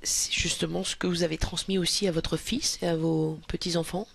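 A man asking interview questions in a gently probing, conversational way. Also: fairly high pitch, little pitch movement, moderate pace, gravelly.

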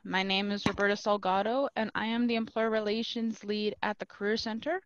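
A woman's voice: medium pitch, level tone, a little fast, dry recording. A young woman hosting a webinar, reading a scripted introduction over a headset on a video call. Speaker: host, university career center staff. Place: small room at home, on a video call. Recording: dull, dry video-call audio.

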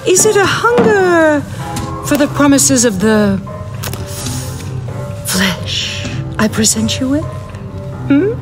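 Flamboyant, slow-purring seductress hostess. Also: female voice, sits high, lively intonation. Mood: seductive, theatrical. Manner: theatrical, purring, drawn-out delivery with pauses. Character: flamboyant, seductive, sinister female arena show hostess. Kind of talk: video game cutscene acting. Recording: loud background music.

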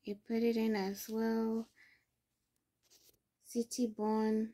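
A woman's voice: on the high side, flat delivery, slow, mellow. A young adult woman from Ghana, hosting a screen-recorded phone tutorial and explaining each step patiently. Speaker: tutorial host.

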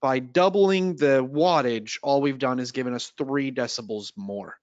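A man's voice: mid-range voice, a little slow, no echo, lo-fi sound. A man presenting in an explanatory webinar style, teaching step by step and checking in as he goes. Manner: didactic, checks understanding with "right?". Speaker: audio trainer and presenter. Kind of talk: explanatory product webinar talk.

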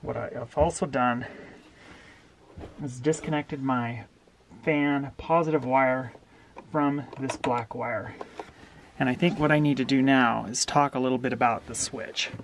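A middle-aged man walking through a camper wiring upgrade step by step, in a steady, plain-spoken way, recorded in a small indoor space. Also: mid-range voice, unhurried, long pauses, a little hiss or hum. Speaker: DIY camper owner explaining a wiring upgrade. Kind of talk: hands-on how-to walkthrough.

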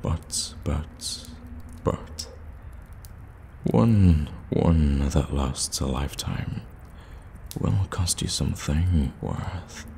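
A man playing a sly, courteous warlock shopkeeper in an ASMR fantasy roleplay, addressing the listener with teasing, soft-spoken flattery. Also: rumbling low, drawn-out words, gravelly, exaggerated voice. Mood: sly, bargaining. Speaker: voice actor in an audio roleplay. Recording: cavernous reverb, ambient background noise.

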